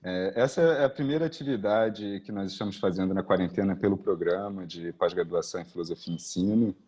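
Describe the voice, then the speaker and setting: A Brazilian man, a philosophy professor, opening an online panel in a halting, casual way on a video call. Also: deep voice, a little mellow, slightly rough. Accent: Brazilian Portuguese. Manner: halting, restarts phrases, many "né" and "ah" fillers.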